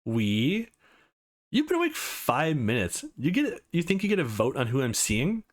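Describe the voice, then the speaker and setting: A man's voice: medium pitch, huge pitch swings, moderate pace. A man voicing an anxious, guarded young woman in a visual novel, emotive and easily exasperated. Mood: annoyed.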